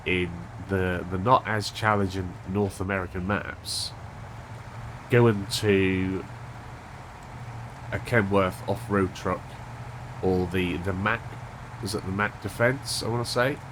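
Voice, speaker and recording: A man hosting a gaming channel, chatting casually over gameplay with a stuffed-up, unwell voice, thinking aloud with a monotone delivery. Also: deep voice, slow, long pauses, background noise. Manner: rambling, thinking aloud with restarts.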